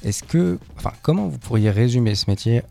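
A man's voice: medium pitch, animated, brisk, gravelly, dark tone, faint music behind. A man interviewing guests, hesitant and searching for his words. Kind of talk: relaxed interview questions.

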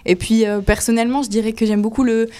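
A woman's voice: fairly high pitch, quick pace. Talkative woman describing her dance job. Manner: lively, talkative explainer. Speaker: cabaret dancer guest. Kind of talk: explaining her work in an interview.

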